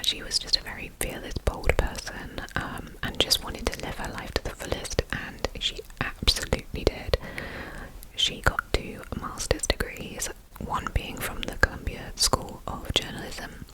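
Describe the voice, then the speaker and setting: Whispering woman, slightly nasal. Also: rough recording. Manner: soft unvoiced whisper, chatty with "you know" asides. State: a little congested from a recent illness. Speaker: ASMR channel host. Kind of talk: whispered true crime ASMR narration.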